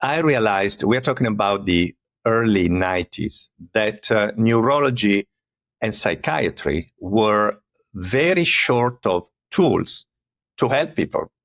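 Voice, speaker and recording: A man in his fifties with an Italian accent, a neurologist telling his career story in an earnest, measured way as a podcast guest. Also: on the low side, animated, a bit bright, no echo, clean studio sound. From Italy. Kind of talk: conversational interview.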